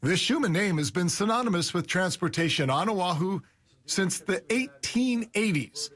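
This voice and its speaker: A man's voice: high-pitched, animated, moderate pace, fairly bright tone, a little hiss or hum. A man reporting a business feature for television in a polished, scripted news delivery.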